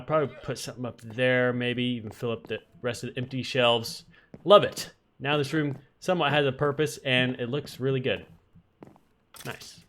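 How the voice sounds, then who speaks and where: A man's voice: medium pitch, fairly bright tone. Slightly nasal man chatting over gameplay. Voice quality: somewhat nasal voice. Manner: chatty, thinks aloud while playing, frequent ums and restarts. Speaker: Minecraft let's-play host. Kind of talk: casual gameplay commentary.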